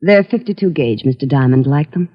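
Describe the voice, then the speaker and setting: Lilting radio femme fatale, muffled recording. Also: female voice, low-pitched, brisk. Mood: coy, flirtatious. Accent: American. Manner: coy, flirtatious, expressive. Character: seductive, scheming wife, a cool femme fatale. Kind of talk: old-time radio crime acting. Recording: dull vintage radio recording.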